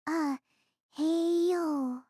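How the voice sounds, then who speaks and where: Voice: extremely high pitch, steady tone, very slow, mellow, dry recording, clean studio sound, full character voice. An actor playing an innocent, curious small boy learning magic, eager and wide-eyed, in an audio drama. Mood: shy, curious. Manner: wide-eyed, eager, simple childlike phrasing. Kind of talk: audio drama character acting.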